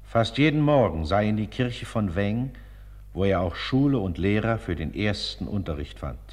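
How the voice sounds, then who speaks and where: A man narrating a scripted religious life story in a solemn, measured manner, with long reverb around him. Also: on the low side, lively intonation, darkish tone. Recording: long reverberant hall sound.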